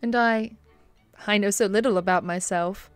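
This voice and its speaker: An actress playing a kindly, refined bishop's wife, polite and hesitant, in a radio-style drama. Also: female voice, mid-range voice, fairly even intonation, moderate pace, faint music behind, close to an everyday voice. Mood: wistful.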